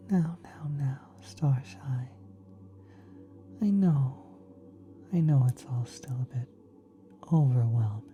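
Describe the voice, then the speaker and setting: A woman voicing a kind, doting celestial parent in an ASMR roleplay, soft and breathy with gentle, tender cooing. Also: very deep voice, slight background noise. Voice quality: soft, breathy, partly whispered. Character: kind, doting ethereal cosmic being, parent of a mortal.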